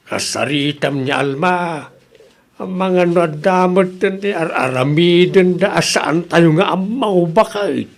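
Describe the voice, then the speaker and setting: A man with an Ilocano Filipino accent playing an expressive husband and father in a rural family comedy radio drama. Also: high voice, takes it slowly, vocal fry, exaggerated voice. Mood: matter-of-fact.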